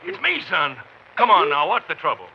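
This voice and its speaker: A man's voice: sits high, huge pitch swings, moderate pace, exaggerated voice. A man playing a shaken, plain-spoken rancher and father, urgent and worried, in a western radio drama. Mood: urgent concern. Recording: muffled old radio recording, clear noise.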